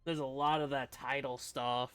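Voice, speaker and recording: A man's voice: medium pitch, steady tone, normal speed, a bit bright, clear, resonant tone, no room sound. A man streaming a video game, giving casual commentary in short, trailing reactions.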